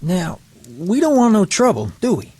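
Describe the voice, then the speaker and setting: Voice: mid-range voice, wildly swooping pitch, moderate pace, mellow, slightly rough, no echo. A performer playing a bold young male drifter in an audio drama, breezy and slangy. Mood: wary.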